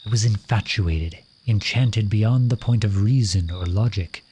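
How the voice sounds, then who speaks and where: A lovesick royal at a masquerade, played by a man, speaks in a fairly low voice. His phrasing is formal and courtly, addressed intimately to one listener as in a romantic audio roleplay. Crickets and night ambience are clearly audible behind him. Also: measured pace, a little mellow, a little hiss or hum, close to an everyday voice. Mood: wistful, tender.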